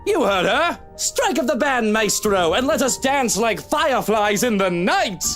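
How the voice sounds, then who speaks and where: An actor playing a suave, theatrical, gallant gentleman husband in a visual novel game. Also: extremely high pitch, big pitch swings, a little slow, soft background music, exaggerated voice. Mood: grandly exuberant.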